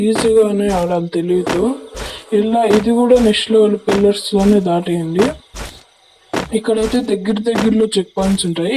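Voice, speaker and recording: A woman giving instructional, repetitive game tutorial commentary through a voice changer. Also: mid-range voice. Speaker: gaming video creator. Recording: clearly noisy home recording with clicks.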